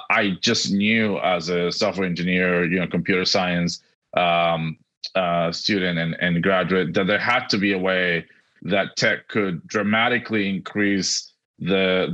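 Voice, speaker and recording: A middle-aged man from Argentina, a native Spanish speaker, giving earnest, halting interview answers over dull, lo-fi audio. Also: sits low, a bit bright. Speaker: podcast guest, education technology executive.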